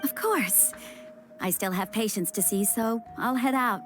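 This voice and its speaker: A woman's voice: on the high side, unhurried, lightly acted. A woman playing a kindly, reassuring female doctor in a video game. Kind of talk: animated video game voice acting. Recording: guitar music clearly behind voice.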